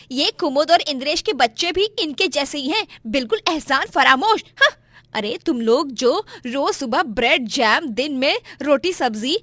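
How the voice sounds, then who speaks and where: Woman acting a shrill, spiteful daughter-in-law. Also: dry recording. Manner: scolding, taunting, forceful. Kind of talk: melodramatic moral-story dialogue.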